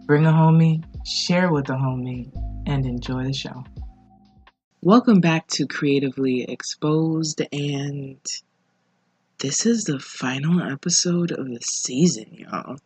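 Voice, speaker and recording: A podcast host speaking casually alone, in an informal, reflective way with pauses between phrases. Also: medium pitch, a little mellow, slightly rough, dry recording, soft background music. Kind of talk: casual solo podcast monologue. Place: living room at home.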